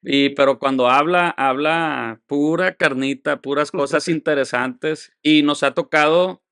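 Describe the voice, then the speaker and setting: Chatty podcast host, slightly high voice. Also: male voice, measured pace, fairly bright tone, smooth, no room sound, studio quality. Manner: friendly, laughs often, prompts with questions. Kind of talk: casual interview chat.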